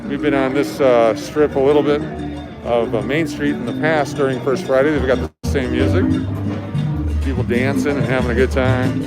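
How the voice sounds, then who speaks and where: A man's voice: mid-range voice, fairly even intonation, moderate pace, rough recording. A man hosting a casual walking livestream outdoors on busy streets, talking into a handheld camera as he goes. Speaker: livestream host. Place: outdoors on city sidewalks at night, by traffic. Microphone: handheld camera or phone while walking. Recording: street traffic and live music behind.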